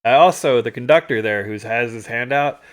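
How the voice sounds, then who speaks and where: A man co-hosting a livestream, chatting casually through stories and photos from a trip, animated when he retells moments. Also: mid-range voice, brisk. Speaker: livestream co-host. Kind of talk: casual storytelling chat over travel photos.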